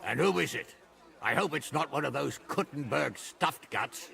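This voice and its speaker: An actor playing a blunt, boisterous middle-aged nobleman in a medieval video game, gruff and given to exclaiming. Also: a little high, animated, normal speed, sharp tone, exaggerated voice. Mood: blunt, astonished. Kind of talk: dramatic video game voice acting.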